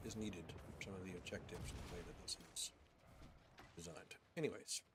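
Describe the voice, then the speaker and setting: A man's voice: low voice, fairly even intonation, a little fast. A man streaming a video game, muttering to himself in casual commentary as he searches around, mildly puzzled. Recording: noisy background hiss.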